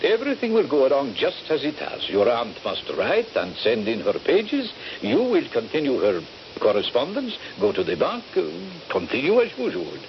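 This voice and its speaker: An actor playing a menacing, smug captor in a radio drama, commanding and formal. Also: male voice, on the high side, wildly swooping pitch, moderate pace, creaky, full character voice. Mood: coldly threatening. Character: menacing, smug captor threatening his prisoners. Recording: muffled, hissy 1970s radio recording.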